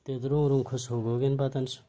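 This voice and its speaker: A man's voice: mid-range voice, level tone, normal speed, warm tone. A man with a Mewati accent argues reproachfully in a private phone conversation, asking one pointed question after another. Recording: amateur phone call recording.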